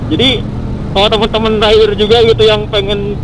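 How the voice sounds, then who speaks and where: A man's voice: high voice, flat delivery, a little fast. A man with a Sundanese-influenced West Javanese Indonesian accent, chatting casually over a helmet intercom while riding. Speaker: motorcycle photographer being interviewed. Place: outdoors, riding a motorcycle in traffic. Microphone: helmet intercom headset. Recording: noisy intercom with road and engine noise.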